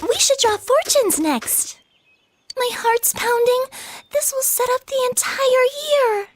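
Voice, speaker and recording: Very high-pitched, shy schoolgirl. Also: animated, slow, exaggerated voice. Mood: happy, affectionate. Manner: hesitant, flustered, polite. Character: shy, earnest, devoted teenage schoolgirl with a crush. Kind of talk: video game anime-style dub acting.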